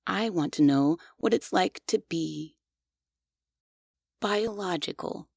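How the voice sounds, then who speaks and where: A devoted, gently obsessive maid robot, voiced by a woman in an ASMR roleplay speaks in a low, slightly gravelly tone. Her measured, unhurried phrasing stays soft and close. The sound is dry, with no room around it. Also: no echo, heavily acted. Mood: softly apologetic. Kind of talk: scripted ASMR audio roleplay.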